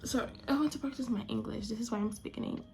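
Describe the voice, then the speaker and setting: A woman's voice: a little high, fast talker, creaky, darkish tone, a little hiss or hum. A young woman from Angola whose first language is Portuguese, chatting casually in her vlog and often hunting for words and restarting her phrases. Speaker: vlogger.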